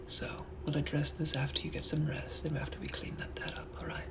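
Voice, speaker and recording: A young man putting on a British accent to play a suave, menacing nineteenth-century gentleman, talking smoothly, often half-whispered, and calling everyone darling. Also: a little high, little pitch movement, a little fast. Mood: soothing, firm. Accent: British, put-on. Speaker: sketch creator. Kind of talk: POV comedy sketches.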